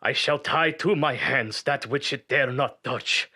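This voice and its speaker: An actor playing a devout, doomed sea captain, his husky voice strained, slow and desperate. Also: mid-range voice, pushed and bright. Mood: desperate, failing. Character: doomed, devout sea captain writing last words, weakening.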